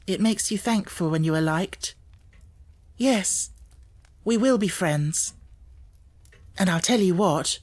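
An earnest, brave little schoolgirl from a storybook, voiced by a male narrator. The voice is lifted well up in pitch and speaks in a thoughtful, imaginative way. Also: takes it slowly, long pauses. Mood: warm, glad. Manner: earnest, reflective, storybook speech.

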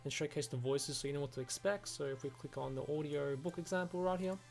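Guy doing a casual software review, talks fast and rambles, bit of music behind. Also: on the high side, creaky. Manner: rambling, peppered with "you know" and "like". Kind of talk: casual screen-recorded review. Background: background music.

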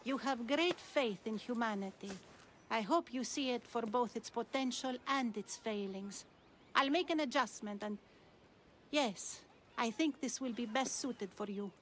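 Enigmatic older wise woman, high-pitched. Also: a bit bright, a little hiss or hum. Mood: lofty, knowing. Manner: measured, cryptic, superior. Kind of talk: video game cutscene dialogue.

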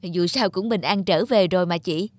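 A man playing an earnest, devoted middle-aged father in an animated moral tale, speaking in a measured way. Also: sits high, dry recording. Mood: earnest, caring. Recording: music under the voice.

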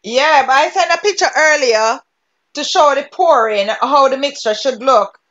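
Jamaican woman, cooking instructor, chatty, high-pitched, light faint hiss on the line. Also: normal speed, fairly bright tone, dull audio. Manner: chatty, laughs easily, gives step-by-step directions. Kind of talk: teaching a cooking class over video call. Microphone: video call.

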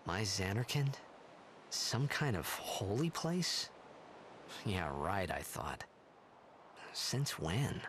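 Bewildered young male hero, unhurried, faint hiss, game dub. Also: on the low side, very animated, full character voice. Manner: disbelieving inner monologue. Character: confused young male athlete lost in time.

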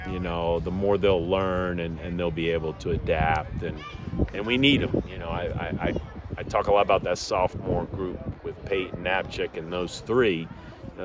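Deep-voiced coach at an outdoor ballpark. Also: male voice. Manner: measured, plainspoken, frequent fillers and restarts. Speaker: head baseball coach. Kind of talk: postgame media interview. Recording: outdoor background noise.

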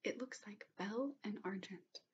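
A woman in her twenties doing gentle, soothing ASMR in a soft, breathy whisper. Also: mid-range voice, moderate pace, a little hiss or hum. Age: 20s. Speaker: ASMR host. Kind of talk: whispered ASMR personal-attention roleplay. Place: small bedroom, indoors. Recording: dull lo-fi webcam-style sound.

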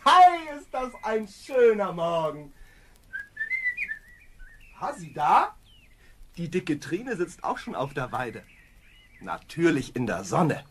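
An actor playing a lazy, simple-minded, cheerful young farm lad in a children's fairy-tale radio play, with a naive drawl. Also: male voice, fairly high pitch, very animated, slow pace, pauses often, very noisy.

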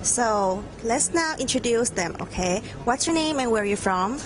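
A young woman interviewing students at a game event, asking short, bright questions into a handheld mic in a large room. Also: a little high, animated, normal speed, background noise.

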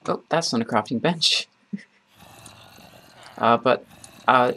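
Creaky-voiced man rambling over gameplay. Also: medium pitch, bright, forceful tone. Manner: rambling, halting delivery. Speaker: gaming video host. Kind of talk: casual gameplay commentary. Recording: noisy, keyboard and mouse clicks.

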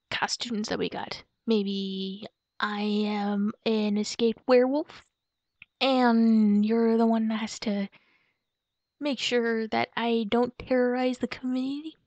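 A young woman playing a playful, excitable girlfriend in a roleplay audio, speaking softly and hesitantly as if musing aloud to her partner. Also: on the high side, measured pace, dry recording. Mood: excited, brainstorming. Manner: giggly, cutesy, soft and hesitant, musing aloud. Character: playful, excitable werewolf girlfriend shopping for Halloween costumes. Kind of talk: girlfriend roleplay ASMR, improvised-sounding monologue to a partner. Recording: dull lo-fi close dry recording.

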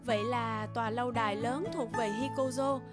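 A woman's voice: on the high side, fairly even intonation, moderate pace. A woman reading scripted fairy-tale narration as the storyteller, with music behind her.

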